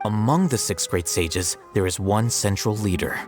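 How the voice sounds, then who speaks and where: A man voicing an aloof, dry academy scribe in a video game, with formal, deadpan delivery. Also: lowish voice, moderate pace, soft background music, natural voice. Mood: dry, explanatory. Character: aloof, unambitious male academy scribe, matter-of-fact and dry. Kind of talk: scripted video game dialogue.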